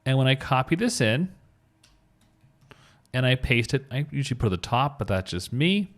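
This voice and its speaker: A man's voice: medium pitch, sing-song, normal speed, fairly bright tone. Man casually walking through a tutorial. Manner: informal, thinks aloud while demonstrating. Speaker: tutorial presenter, web designer. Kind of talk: casual step-by-step screencast narration.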